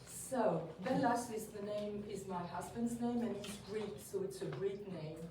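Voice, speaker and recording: A middle-aged woman from Brittany with a French accent, a native French speaker, giving an informal artist talk in halting, simple English with wry asides. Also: mid-range voice. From Brittany, France. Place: indoor gallery, recorded from a distance. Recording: echoey, noisy gallery room, distant mic.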